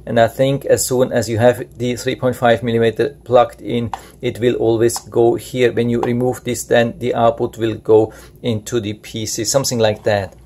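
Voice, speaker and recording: A man's voice: medium pitch, flat delivery, moderate pace, mellow, a little hiss or hum. A man giving an unscripted product review and tutorial, explaining things plainly step by step.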